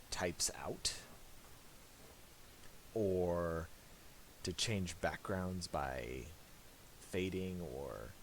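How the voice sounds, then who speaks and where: A man narrating a casual software tutorial, thinking aloud in a halting way. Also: sits low. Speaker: tutorial presenter. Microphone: voice-over for a screen recording. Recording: hissy amateur voice-over.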